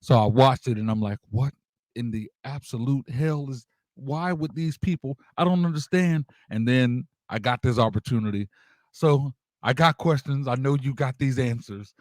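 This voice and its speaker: A man's voice: medium pitch, normal speed, darkish tone, no room sound. A man hosting a radio interview in a casual, slangy, exclamatory hype style. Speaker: radio show host.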